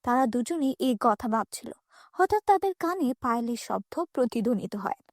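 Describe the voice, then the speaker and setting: A woman's voice: a little high, very animated, fairly quick, no echo, clean studio sound. A woman narrating a scripted fairy tale for children.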